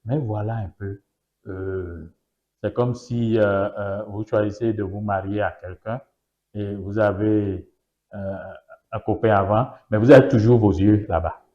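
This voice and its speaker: A man's voice: fairly low pitch, steady tone, unhurried, mellow, dull audio. Yoruba-speaking guy on a politics interview, pushy, repeats himself, keeps asking "you see?". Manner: emphatic, repeats phrases, rhetorical questions. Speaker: political party guest.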